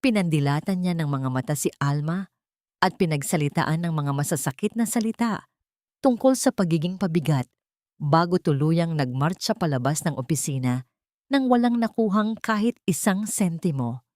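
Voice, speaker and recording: A woman storyteller reading scripted dramatic fiction in a measured, literary manner, close to the microphone. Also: low-pitched, lively intonation, darkish tone, no echo. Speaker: storyteller, narrator.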